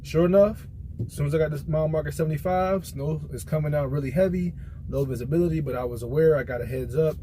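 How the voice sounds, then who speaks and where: A man's voice: a little high, fast, dark tone, slight background noise. An American man who drives trucks, talking casually and informally in a rambling vlog, telling stories from experience. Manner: conversational storytelling, informal. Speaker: truck driver vlogger giving a product opinion.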